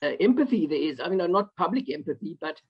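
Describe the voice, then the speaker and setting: A South African man giving a rambling, halting comment on a video call, full of fillers and restarts. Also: high-pitched, lively intonation, breathy edge. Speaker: audience member asking a question. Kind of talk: online Q&A comment. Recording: dull lo-fi video call audio.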